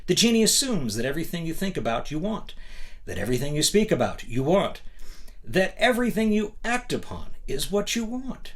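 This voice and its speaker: A man's voice: fairly high pitch, very animated, fairly quick. A man hosting a motivational book reading, emphatic and instructive, with conversational asides and rhetorical questions. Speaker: host reading a book aloud.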